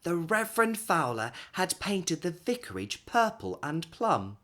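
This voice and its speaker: A man in his thirties reads a children's story aloud expressively, with dramatic pauses and emphasis. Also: on the high side. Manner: animated, dramatic pauses and emphasis for young listeners.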